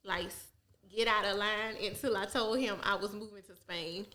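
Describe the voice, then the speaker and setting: A young adult woman in a casual podcast chat, an animated storyteller who laughs a lot. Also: mid-range voice, normal speed. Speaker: podcast co-host.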